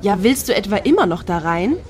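An actress playing a wry, self-indulgent clubgoer in a comic radio play, talking articulately and with irony. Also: female voice, medium pitch, big pitch swings, fairly quick, natural voice. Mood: sardonic. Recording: music behind the voice.

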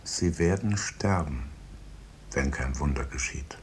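Deep-voiced, stern medical professor. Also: male voice, husky, heavily acted. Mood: stern, grave. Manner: measured, formal, moralizing. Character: grave, stern male medical professor, coolly authoritative. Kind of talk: 1950s German radio drama acting. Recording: noisy 1950s radio recording.

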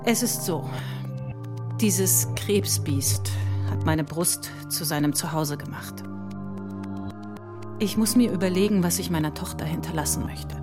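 Female, lowish register, actress playing an ailing, resolute mother and intellectual; deliberate, declamatory stage-drama delivery over prominent music. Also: natural voice. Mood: grave, resolute. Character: Iranian woman mathematician, gravely ill mother, defiant and grave. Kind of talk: theatrical radio drama monologue and dialogue. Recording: loud music behind the voice.

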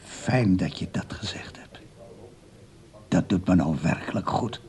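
An actor playing a lonely old man in a radio play, hesitant and husky, wistful but quick to defend himself. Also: deep voice, mellow, in a whisper. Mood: tender, wistful. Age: older, over sixty.

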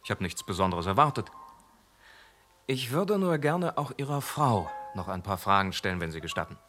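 A composed police inspector who asks pointed questions with dry politeness. His intonation moves expressively. He stays measured and unhurried. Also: on the low side, soft background music. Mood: probing. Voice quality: calm, dryly probing. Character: calm, probing male police inspector. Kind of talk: radio play acting.

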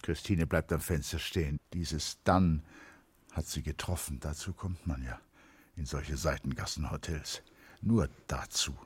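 An actor as a grave, brooding narrator of a literary radio drama, with a husky, breathy voice. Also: male voice, rumbling low, unhurried, a little hiss or hum. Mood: grave, tense.

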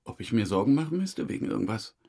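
A man's voice: on the low side, very animated, quick pace, gravelly, dark tone, heavily acted. A man acting a stubborn murder suspect in a crime audio drama, defensive, curt and evasive. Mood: uneasy.

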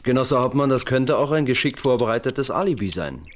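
A male actor plays a sceptical junior police detective in a radio crime play. His delivery is lively and pointed, rising and falling a lot, with audible background ambience. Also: mid-range voice, brisk, a little hiss or hum, natural voice. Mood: probing. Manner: probing, pointed. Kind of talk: German radio crime drama acting.

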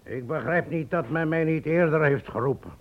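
An actor in a radio play playing a pompous, imperious magician who speaks in a commanding, incantatory manner. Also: male voice, on the high side, normal speed, a crowd in the background, lightly acted. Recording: dull old radio recording.

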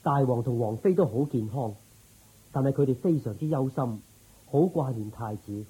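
A man's voice: medium pitch, normal speed, mellow, breathy edge, poor audio. A man playing a loyal, reverent royal minister in a Cantonese radio drama, formal and deferential in his speech. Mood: respectful.

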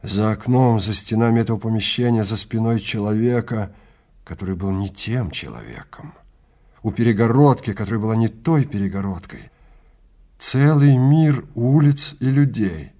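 A man narrating a science fiction audio drama theatrically, in deliberate short phrases with weighty pauses. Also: lowish voice, animated, mellow. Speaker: narrator and actor.

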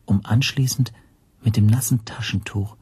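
A man's voice: fairly low pitch, fairly even intonation, normal speed, darkish tone, husky. An actor playing an anxious stateless man who narrates his own ordeal in a brooding, self-questioning way for a German radio drama. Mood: wary. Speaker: first-person narrator and protagonist.

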